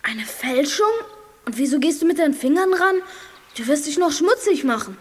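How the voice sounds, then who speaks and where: A woman playing a naive, easygoing schoolboy sidekick in a German children's audio drama, guileless and slow on the uptake. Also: high voice, animated. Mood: puzzled, naive.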